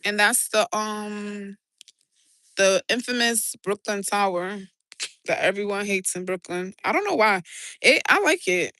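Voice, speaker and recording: Chatty New York woman, bright voice. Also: medium pitch, measured pace, no echo, studio quality. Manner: chatty, swearing, rambling and excitable. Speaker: livestreamer. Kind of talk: casual live-stream chat. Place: outdoor city rooftop. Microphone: handheld phone.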